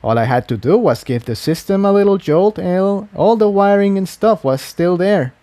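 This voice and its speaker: A man puts on a young male unicorn from a fantasy tale. He is soft-spoken and a bit sheepish, with a lightly lifted pitch. His way of talking is modest and sincere. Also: lively intonation, moderate pace, dry recording.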